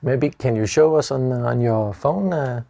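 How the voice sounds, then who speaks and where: Male interviewer, relaxed, fillers, mid-pitched, self-deprecating. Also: measured pace, creaky, no echo. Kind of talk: casual interview.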